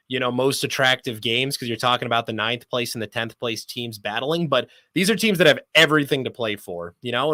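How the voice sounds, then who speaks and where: Guy doing sports talk as a guest, bright punchy voice, really enthusiastic and wordy, no room echo. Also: medium pitch. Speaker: sports broadcaster interviewed as guest.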